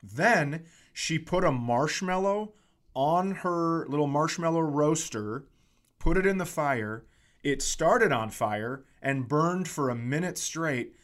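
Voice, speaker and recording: A man with a slightly high voice tells casual, comedic stories as a podcast host. He rants with comic exaggeration and swears freely. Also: expressive, slow pace. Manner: profane, exaggerated ranting.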